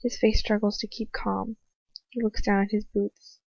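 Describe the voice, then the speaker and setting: A woman's voice: mid-range voice, little pitch movement, normal speed, gravelly, darkish tone, breathy edge. A woman giving a dramatic first-person reading of fan fiction, as an amateur audiobook narrator. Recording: muffled roomy home recording.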